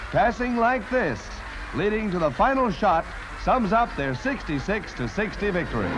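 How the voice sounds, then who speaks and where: Old timey american newsreel guy, high lively voice, music playing behind him. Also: poor audio. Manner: punchy, theatrical announcer delivery. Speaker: newsreel narrator. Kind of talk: 1940s newsreel scripted narration. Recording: vintage 1940s newsreel soundtrack.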